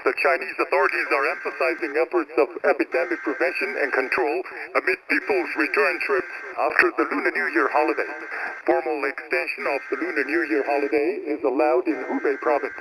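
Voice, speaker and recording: A man reading a scripted news bulletin in a formal, measured way, heard over a crackly shortwave radio broadcast. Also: a little high, steady tone, gravelly. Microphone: broadcast heard over shortwave radio receiver.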